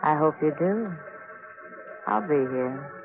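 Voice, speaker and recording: Cool bar organist, tinny old radio. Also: female voice, low voice, lively intonation, takes it slowly, exaggerated voice. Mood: cool, teasing. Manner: cool, sardonic. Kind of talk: 1940s radio drama acting. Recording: tinny 1940s radio broadcast with organ music.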